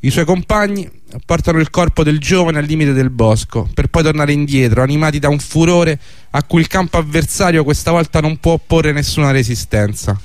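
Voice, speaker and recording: Male narrator for radio historical drama: scripted delivery, somewhat higher-pitched voice, very dry recording. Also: brisk, no room sound.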